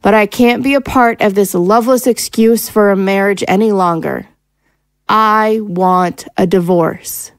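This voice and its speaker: A woman's voice: medium pitch, fairly even intonation, unhurried, clear, resonant tone, dry recording, close to an everyday voice. A woman playing a hurt, fed-up wife and mother in an audio drama, pleading and accusatory as she confronts her husband. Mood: hurt, resolute. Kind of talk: dramatic audio screenplay acting.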